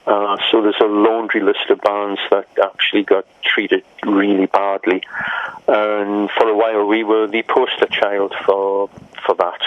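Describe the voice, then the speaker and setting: A man's voice: fairly low pitch, little pitch movement, measured pace, no room sound. A man in his sixties from England, a musician telling rambling, wry stories with frequent laughs in an interview. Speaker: musician guest. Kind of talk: casual interview storytelling. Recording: muffled lo-fi audio.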